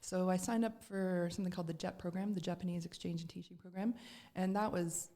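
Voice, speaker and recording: A woman's voice: lowish voice, fairly even intonation, quick pace, warm tone. A middle-aged woman from Canada, a podcast guest telling her story in casual chat, with lots of fillers and restarts.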